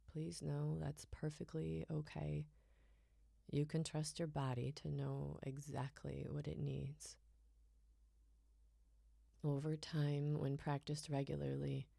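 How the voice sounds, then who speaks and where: A woman guiding a relaxation meditation in a soft, soothing, unhurried way, her phrases often trailing off breathily toward a whisper. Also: sits low, vocal fry, no echo, clean studio sound. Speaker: yoga nidra guide.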